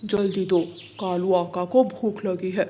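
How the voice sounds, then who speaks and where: Mellow pigeon, voiced by a woman, plain-spoken, curt, medium pitch, cartoon dialogue. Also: flat delivery, measured pace, a little hiss or hum, close to an everyday voice. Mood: demanding. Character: male pigeon, plain-spoken, turned obedient enforcer. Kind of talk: Hindi cartoon story dialogue.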